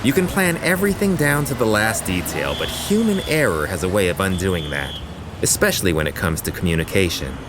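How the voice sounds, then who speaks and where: A man's voice: sits low, sing-song, a little fast, over music. A man narrating an audio drama in a wry, teasing manner.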